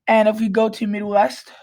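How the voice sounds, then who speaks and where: Hoarse woman with a cold, flat delivery. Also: mid-range voice. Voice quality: raspy. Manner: frequent restarts and throat clearing. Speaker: weather vlogger. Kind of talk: casual weather forecast walkthrough.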